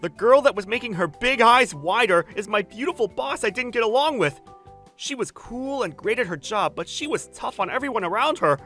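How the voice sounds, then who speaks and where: Man acting polite, animated young office worker. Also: very high voice, brisk, soft background music, full character voice. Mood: matter-of-fact. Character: polite, deferential 26-year-old male office worker. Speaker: voice actor, lead and narrator. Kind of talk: dramatized manga dub narration.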